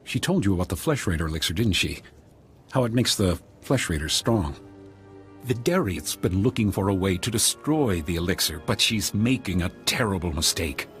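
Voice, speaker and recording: An actor playing a scheming, scornful guard captain in a video game, insistent and persuasive. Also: male voice, deep voice, animated, normal speed, a bit bright, soft background music. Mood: conspiratorial.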